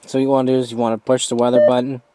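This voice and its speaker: Male amateur reviewer, medium pitch, informal hands-on demo delivery, somewhat halting as he walks through features. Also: level tone, background noise.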